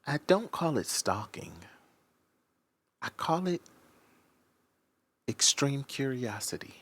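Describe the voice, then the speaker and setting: A man playing a secretive, obsessive man confessing in a measured, confiding audio drama narration with deliberate pauses. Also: mid-range voice, very animated, a bit bright, pitched above the performer's own voice, full character voice. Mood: secretive, insistent. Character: Josh, secretive obsessive man confessing his curiosity about strangers. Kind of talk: scripted audio drama first-person narration.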